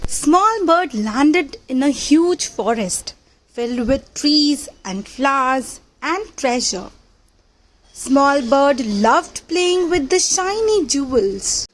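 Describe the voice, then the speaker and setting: A woman reading a picture book aloud to young children, with simple deliberate phrasing and questions to the listeners. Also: high voice, animated, poor audio. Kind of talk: children's read-aloud storytelling.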